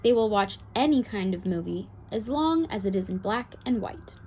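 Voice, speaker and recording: A woman's voice: mid-range voice, lively intonation, moderate pace, a little mellow. Female reader for children's bedtime storybook narration, mid-range voice, some background noise.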